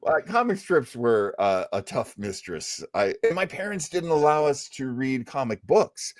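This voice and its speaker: A man hosts a casual live show with a medium-pitched voice. He is halting and self-deprecating. The sound is very dry. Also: very animated, dry recording. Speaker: radio stream host.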